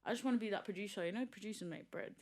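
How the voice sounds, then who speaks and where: A young woman from London with an East London accent talks in a deep, husky voice. She speaks fast and loose, in slangy podcast chat that swears freely. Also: darkish tone. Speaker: podcast guest.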